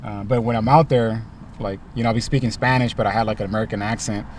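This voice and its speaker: A young man from Los Angeles, a rapper, answering interview questions in a car in a relaxed way, with lots of ums and likes. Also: fairly low pitch, quick pace, distant mic, a little hiss or hum. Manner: laid-back, many ums and likes. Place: inside a parked car.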